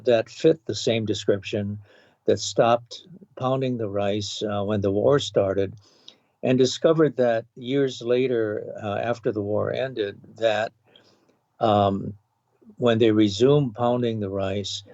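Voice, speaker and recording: This is a man in his seventies from Hawaii, speaking slowly and thoughtfully as a podcast guest, pausing often as he remembers. His voice sits low with a gravelly edge. The recording is dull and dry, with a lo-fi feel. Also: fairly even intonation, a little mellow, no echo. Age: 70s. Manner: measured, with frequent um and uh pauses. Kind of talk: thoughtful reminiscing interview.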